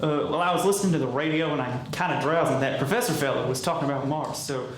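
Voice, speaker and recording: A man's voice: a little high, fast talker, close to an everyday voice. A man playing a folksy, hesitant farmer who rambles, in the style of 1930s radio drama. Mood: rambling, bemused. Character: rambling, folksy male farmer, slow to the point. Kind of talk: 1930s radio acting, rustic character. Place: live audience performance in a hall. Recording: very echoey hall, noisy ambience.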